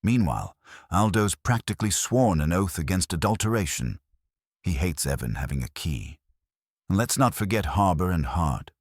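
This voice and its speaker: A man reading a scripted first-person detective story as narrator, with a wry, measured delivery. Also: low voice, expressive, no echo, clean studio sound. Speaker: audiobook narrator.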